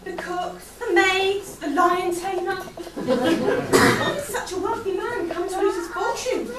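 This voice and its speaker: A woman's voice: very high-pitched, fairly even intonation, takes it slowly. A woman playing a chirpy young male servant who narrates a pantomime, declaiming to the audience in amateur stage style. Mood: dramatic storytelling. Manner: bright, declamatory, addressing the audience directly. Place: theatre or hall stage with audience. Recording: echoey hall, distant mic, audience noise.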